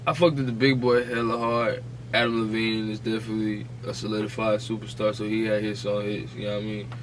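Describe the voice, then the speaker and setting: A man's voice: fairly low pitch, level tone, quick pace, slight background noise, low-quality recording. An American man co-hosting a podcast, chatting casually in slang and reasoning aloud in a conversational way. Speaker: podcast co-host.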